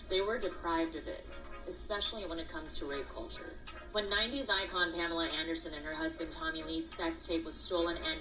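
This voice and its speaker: A woman journalist narrates a scripted news segment in a measured, polished voice. She sits a little back from the mic, her voice lowish, with faint music running underneath. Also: fairly bright tone. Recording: distant clip with music underneath.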